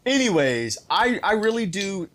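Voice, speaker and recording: A man's voice: high voice, sing-song, measured pace, a bit bright. A man chatting casually as a show host, telling stories and repeating phrases for emphasis, with some mild swearing. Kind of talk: casual storytelling chat.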